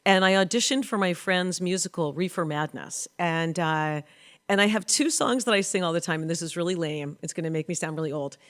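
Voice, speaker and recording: A middle-aged woman hosting a casual chat, telling stories with frequent laughter, recorded in a home studio on a boom-arm studio mic. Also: fairly quick, a bit bright. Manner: laughing, storytelling. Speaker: host. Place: home studio with a lit backdrop.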